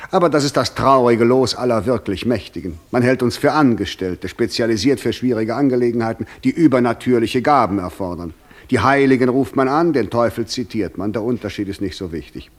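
A man's voice: mid-range voice, fairly quick, natural voice. A man acting the Devil in a German radio play, proud, sardonic and eloquent, with grand, ironic delivery. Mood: haughty, sardonic. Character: the Devil, proud, sardonic, worldly-wise old tempter.

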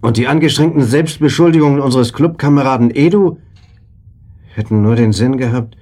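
An actor playing a wary club friend in a German radio play, husky and hesitant, guarded in what he says. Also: medium pitch, fairly quick, mellow, lightly acted. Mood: uneasy, guarded.

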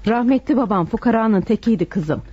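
An actress playing a dismissive, doubting middle-aged mother with a husky, mellow voice in a muffled radio play. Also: fairly high pitch, lively intonation, fairly quick. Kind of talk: radio theatre acting. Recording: muffled radio drama recording.

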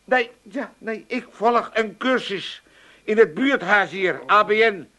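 A man's voice: high-pitched, slow pace, bright, forceful tone, exaggerated voice. An actor playing a cheeky, argumentative old father with an Amsterdam accent in a comic radio play, blustering and tripping over his words. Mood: self-important. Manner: blustering, muddled, stammering over grammar.

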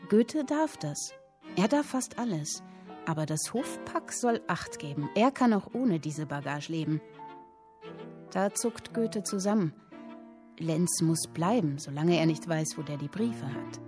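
A woman playing an expressive storyteller in a radio play, vividly recounting an old tale and savouring her phrases. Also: deep voice, a little slow, soft background music. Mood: conspiratorial.

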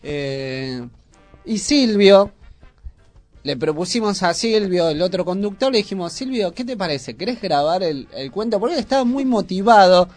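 A man with a high voice and a Buenos Aires accent hosts a casual radio chat. The sound is dry and close, with faint music underneath. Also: expressive, normal speed, darkish tone, no room sound. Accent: Argentine, Buenos Aires. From Argentina. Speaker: radio host.

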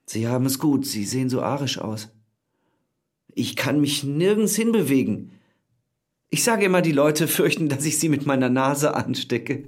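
Man acting raspy, wry down-and-out schemer. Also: mid-range voice, lively intonation, moderate pace, natural voice. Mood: sardonic. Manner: wry, pushy, chatty. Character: ruined, fast-talking schemer with wry gallows humour.

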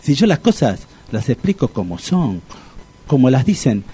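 Anxious older Argentine man, husky voice, swinging intonation, pedantic amateur psychologist, exasperated, comic radio drama. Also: medium pitch, sing-song, normal speed, dark tone. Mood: lecturing. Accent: Rioplatense Argentine. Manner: exasperated, pedantic, repeats himself. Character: Tito, anxious, argumentative sixty-year-old man, lovesick amateur psychologist.

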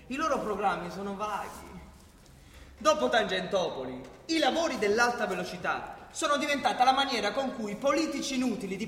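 Casting: male actor as satirical storyteller for radio drama, declamatory, indignant, highly animated delivery, reverberant room with faint noise. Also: heavily acted. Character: male satirical narrator, indignant at corrupt politics. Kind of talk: theatrical storytelling monologue for radio theatre. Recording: echoey hall, faint noise.

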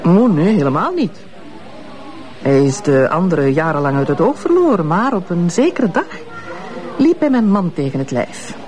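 A woman's voice: lowish voice, big pitch swings, moderate pace, darkish tone, soft background music. An actress with a Flemish accent playing a bitter, sardonic ex-wife in her fifties in a radio drama, speaking in a mocking, insinuating way. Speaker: witness, ex-wife.

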